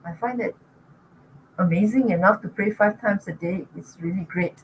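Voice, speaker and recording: A woman's voice: medium pitch, moderate pace, slight background noise, rough recording. An older woman with a Singaporean accent, a guest in an interview, telling stories in a chatty way and laughing often. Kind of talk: casual interview talk.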